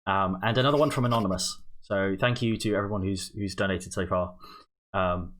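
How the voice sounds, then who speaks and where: Low-voiced, halting young man hosting a stream. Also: steady tone, fairly bright tone. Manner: hesitant, halting, unscripted. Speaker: charity livestream host. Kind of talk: casual livestream announcements and chat. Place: indoor home streaming setup.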